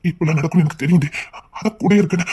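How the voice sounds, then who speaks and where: A man plays a controlling husband in a heated melodrama, with a husky voice and rapid delivery. He insists over and over, coaxing in hushed tones and scolding with agitation, an anxious father-to-be who will not be argued with. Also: on the high side, fairly even intonation. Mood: reassuring. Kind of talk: emotional melodrama dialogue.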